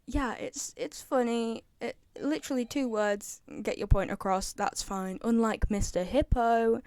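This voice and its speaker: A woman's voice: on the high side, warm tone, no echo. A woman chatting casually and giving opinions as a fan commentator, rambling and repeating herself for emphasis. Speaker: fan commentator ranking game voice lines.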